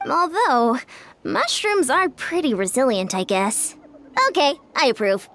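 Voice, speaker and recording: Very high, lively young woman who says what she thinks. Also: unhurried, a little hiss or hum, heavily acted. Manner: animated and outspoken. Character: excitable, blunt young woman. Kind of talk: video game voice acting.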